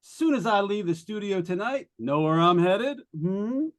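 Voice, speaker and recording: A high, very lively voice for an excitable late-night jazz disc jockey , played by a man. He is jovial and wisecracking, chatting to listeners in showy, folksy patter in the manner of old-time radio drama. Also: measured pace. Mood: teasing, excited.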